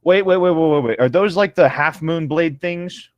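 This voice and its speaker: A man reading a story aloud casually, playful and expressive, breaking off to comment. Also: fairly high pitch, moderate pace, no room sound, highs cut off. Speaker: co-host of a comedic fan fiction reading show.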